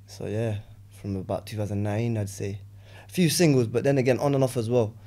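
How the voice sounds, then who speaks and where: A young man from West London with a London accent, a rapper chatting as a podcast guest, relaxed and rambling, often asking "you know what I'm saying". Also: on the low side, quick pace. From West London, England. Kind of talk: casual podcast chat.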